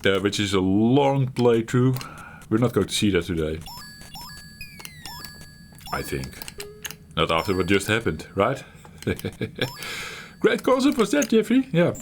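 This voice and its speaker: A middle-aged man hosting his retro gaming channel, talking while he plays in a halting, reflective way, searching for words and laughing at himself. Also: on the low side, very animated, a little hiss or hum. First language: Dutch. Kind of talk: reflective gameplay commentary.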